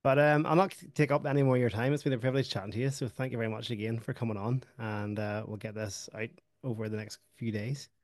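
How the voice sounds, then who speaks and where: A fast-talking man of middle pitch hosts an interview, chatty and friendly. His voice sounds dry and close, with no room around it. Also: steady tone, dry recording. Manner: quick, friendly, talkative. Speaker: podcast host and DJ. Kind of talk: casual interview chat.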